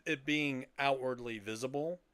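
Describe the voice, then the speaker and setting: Casting: older male co-host, clear resonant voice at medium pitch, relaxed podcast conversation. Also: moderate pace, no echo. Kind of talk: casual chat about sci-fi and old radio heroes.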